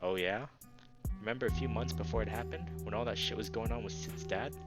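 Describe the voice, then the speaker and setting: A man plays a young male reptile from a visual novel with a grudge and a short temper. His voice is fairly low, blunt and defensive, over clearly audible music. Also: fairly quick, lightly acted. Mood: angry, accusing. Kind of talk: visual novel character read-aloud.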